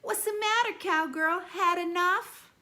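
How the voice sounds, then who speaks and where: A woman's voice: very high-pitched, measured pace, bright, forceful tone, pitched above the performer's own voice, full character voice. A woman voicing a mean older schoolboy bully from a storybook, with a taunting delivery.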